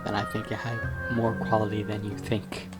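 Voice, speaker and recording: A man's voice: lowish voice, completely flat delivery, normal speed. A man making dry, offhand comments while playing a game. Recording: game music loud behind.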